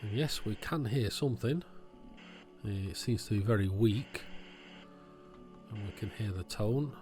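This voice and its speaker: A man's voice: fairly low pitch, unhurried, darkish tone, soft background music. A man giving informal, matter-of-fact commentary on an electronics repair, thinking aloud as he works. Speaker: electronics repair video host.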